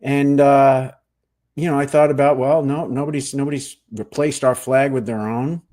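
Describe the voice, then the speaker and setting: A middle-aged man from New Jersey tells his stories at an easy pace. His tone pokes fun at himself, and he lets his voice fall into quiet asides as he finishes a thought. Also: normal speed. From New Jersey, USA. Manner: relaxed storytelling, self-deprecating, trailing off into low asides. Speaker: guest, actor and Marine veteran. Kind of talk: casual interview chat.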